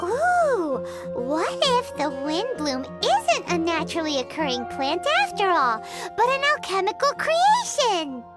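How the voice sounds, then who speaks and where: A woman voicing a tiny, excitable, childlike fairy companion in a video game, bubbly in manner. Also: very high-pitched, a little slow, few pauses, loud music behind the voice, exaggerated voice. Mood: curious. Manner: bubbly, speaks of self in third person.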